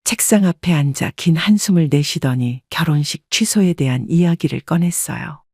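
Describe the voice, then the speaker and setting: A woman reading a scripted first-person story as an audiobook narrator, in a measured, polite storytelling manner. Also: low-pitched, darkish tone, husky, no echo, studio quality.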